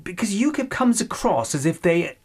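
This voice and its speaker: A man's voice: high-pitched, sing-song, moderate pace, slightly rough. A British man presenting on local radio, conducting a political interview in a conversational, probing way.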